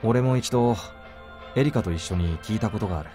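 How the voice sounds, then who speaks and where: An actor voicing a calm young male protagonist in a visual novel, speaking quietly and matter-of-factly. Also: fairly low pitch, very fast, natural voice. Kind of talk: anime visual novel voice acting. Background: soft game music.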